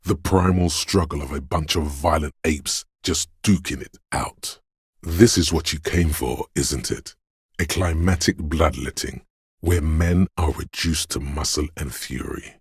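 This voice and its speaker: A menacing inner voice of fear and aggression from a video game. It is extremely low and gravelly, with a slow, brooding, theatrical delivery that weighs on every phrase. It addresses the listener directly, like a dark narrator urging violence. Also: male voice, no echo, clean studio sound, heavily acted. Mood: savage relish. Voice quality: very deep, gravelly, husky. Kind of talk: second-person dramatic video game narration.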